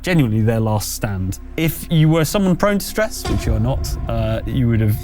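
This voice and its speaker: A man's voice: medium pitch, animated, normal speed, over music. A man running a tabletop roleplaying game, narrating and explaining in a conversational, slightly hesitant way. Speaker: game master.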